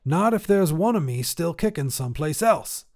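A man's voice: fairly high pitch, animated, moderate pace, a little mellow, voice pushed up. A man voicing a stubborn old grandfather who tinkers with inventions, talking in a folksy, clipped, insistent way. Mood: stubborn, defensive.

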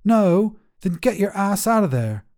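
A man's voice: high voice, big pitch swings, a little slow, darkish tone, pitched above the performer's own voice, heavily acted. A man voicing a brusque, bossy female space rescuer in a story, with an urgent, commanding manner. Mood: sharp, impatient.

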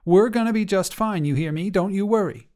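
A man's voice: sits high, brisk, a little mellow, pitched above the performer's own voice, close to an everyday voice. A man voicing a protective elderly grandmother who speaks firmly and reassuringly. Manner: firm, reassuring. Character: warm protective old grandmother.

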